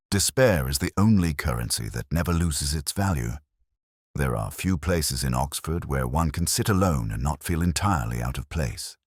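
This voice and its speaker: A man narrating a first-person detective story as a scripted audiobook, in a measured, solemn, literary manner. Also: extremely low pitch, slightly rough, no echo, studio quality.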